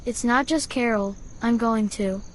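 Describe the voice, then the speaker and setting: A woman's voice: fairly high pitch, a little slow, a little hiss or hum, natural voice. A woman playing a defiant, impulsive young boy in an audio drama. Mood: defiant, pleading. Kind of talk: fan audio drama acting.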